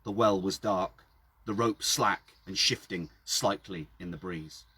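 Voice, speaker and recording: A man with a husky voice reading his own story aloud outdoors, in a dramatic storytelling style. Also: low-pitched, unhurried, pushed and bright. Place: outdoors by a well.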